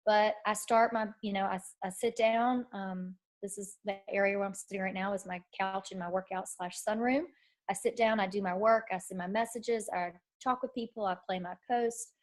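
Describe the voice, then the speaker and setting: A woman, a little nasal as she recovers from bronchitis, telling her story as a fitness coach on a casual team call from her couch at home. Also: mid-range voice. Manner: chatty, storytelling, quoting herself.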